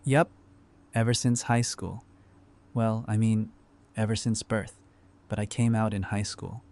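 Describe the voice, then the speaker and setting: Man acting a low-voiced, wry survivor. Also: level tone, measured pace, darkish tone. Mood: teasing, wry. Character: easygoing, wry male survivor, friendly and pragmatic. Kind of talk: fan audio drama dialogue.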